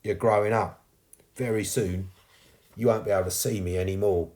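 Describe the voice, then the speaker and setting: A man's voice: fairly low pitch, fairly even intonation, normal speed, a little mellow, close to an everyday voice. A man playing a cheeky ghost boy. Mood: wistful, tender. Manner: colloquial, chirpy, teasing. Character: cheeky young ghost chimney sweep boy, warm-hearted cockney.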